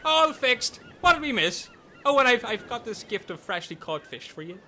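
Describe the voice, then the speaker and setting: Man putting on a very high, excitable comic castaway. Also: normal speed, slight background noise, heavily acted. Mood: chirpy. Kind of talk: comedy audio drama.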